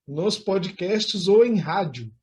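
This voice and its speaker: A man's voice: high voice, expressive, slow pace, a little mellow. A man with a Brazilian accent hosting an online interview in formal, wordy phrasing. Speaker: interview host.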